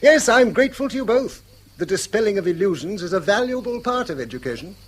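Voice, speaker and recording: A wry, husky, ironic brother. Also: male voice, high voice, expressive, fast. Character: wry, ironic, unworldly schoolteacher brother, needling. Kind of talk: British stage-style radio drama acting.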